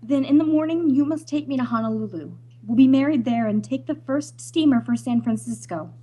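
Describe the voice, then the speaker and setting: Woman as high, husky, anguished young heroine. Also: brisk, mellow. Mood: urgent pleading. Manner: pleading, breathless melodrama. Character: anguished young half-Hawaiian daughter, torn by love. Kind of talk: old-time radio melodrama acting.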